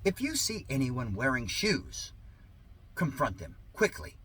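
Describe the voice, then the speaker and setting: A man's voice: mid-range voice, huge pitch swings, unhurried, fairly bright tone, husky. A man reading a satirical monologue aloud in a sarcastic, mock-earnest, theatrical way with exaggerated emphasis. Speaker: reader of a satirical article.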